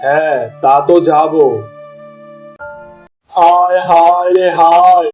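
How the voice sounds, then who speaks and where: A high, soft-toned cartoon farmer performed by a man, poor and full of sorrow. He speaks in a plaintive, melodramatic wail, a village father lamenting his hard lot. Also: steady tone, slow pace, dull audio, soft background music. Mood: consoling, subdued. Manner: plaintive, wailing delivery.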